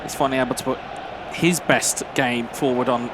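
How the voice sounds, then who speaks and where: A man's voice: mid-range voice, fairly even intonation, a little fast, background noise. A man, a former competitor, giving thoughtful co-commentary on an esports football match with frequent fillers and restarts. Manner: reflective, hesitant with fillers. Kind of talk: live esports commentary analysis.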